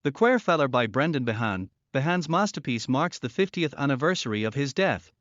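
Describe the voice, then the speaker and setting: A man's voice: medium pitch, big pitch swings, a little fast, fairly bright tone, dry recording, muffled recording, faint music behind. A man reading scripted narration as an announcer.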